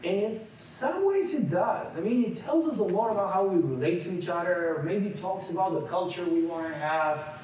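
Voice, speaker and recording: A man's voice: sits high, animated, normal speed, a little mellow, a little hiss or hum. A man in his forties from Bulgaria leading a seminar, talking conversationally with frequent restarts and questions to the room. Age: 40s. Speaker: workshop leader. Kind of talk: interactive seminar lecture. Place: large echoey meeting room. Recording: echoey room recording from far off.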